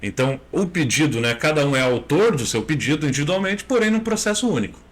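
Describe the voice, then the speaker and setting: A Brazilian man in his thirties, a lawyer answering interview questions in a measured, step-by-step way with frequent tag questions. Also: on the high side, lively intonation. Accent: Brazilian Portuguese.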